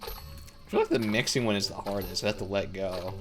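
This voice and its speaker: A man's voice: fairly low pitch, a little fast. A man giving casual live gameplay commentary as a streamer, reacting wryly with exclamations. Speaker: game streamer. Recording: game music under the voice. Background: game music with plucked strings.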